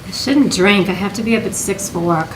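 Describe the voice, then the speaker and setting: A woman's voice: medium pitch, a little fast, close to an everyday voice. A woman reading the part of a weary, reproachful 1950s working wife in a stage play table read. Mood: curt. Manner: dry, reproachful. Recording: echoey room, faint noise.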